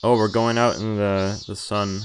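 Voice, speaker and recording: A man's voice: lowish voice, flat delivery, unhurried, noisy background. A man reading visual novel text aloud as a streamer, breaking off for informal, joking commentary. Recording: game music behind the voice.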